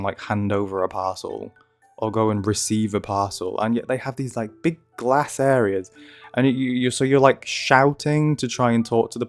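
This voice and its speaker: A man with a slight cold, talking casually over gameplay in a lowish voice. He rambles and rants with self-deprecating asides, and faint music plays underneath. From United Kingdom. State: has a cold. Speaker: gaming channel host.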